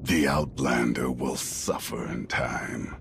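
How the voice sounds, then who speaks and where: A stern, resentful accuser in a video game. His extremely low voice is gravelly and slow, with clear noise around it. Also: steady tone, exaggerated voice. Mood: accusing. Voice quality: deep, gravelly. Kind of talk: dramatic video game acting.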